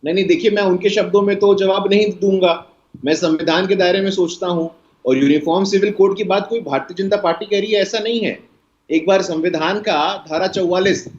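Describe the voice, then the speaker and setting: An Indian man speaking as a party spokesperson in a televised political debate, emphatic, lecturing and argumentative. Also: high-pitched. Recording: roomy, dull lo-fi sound.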